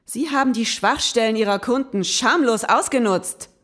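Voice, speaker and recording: An actress playing a prim young investigator in an audio drama, speaking in precise, formal, matter-of-fact sentences. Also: female voice, fairly high pitch, normal speed, a bit bright, close to an everyday voice. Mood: stern. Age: young adult. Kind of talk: scripted audio drama acting and narration.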